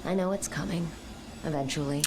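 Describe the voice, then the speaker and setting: A pragmatic, watchful operative from a video game. Her voice is on the low side, with a dry, no-nonsense delivery. Clear noise sits in the recording. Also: brisk. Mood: wry, wary. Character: guarded, pragmatic, determined female operative. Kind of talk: scripted video game dialogue.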